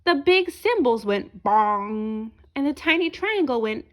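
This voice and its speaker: Female children's storyteller, high voice, very animated delivery, deliberate phrasing. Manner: expressive, deliberate phrasing for young listeners. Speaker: storyteller reading a picture book aloud. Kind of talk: children's read-aloud narration.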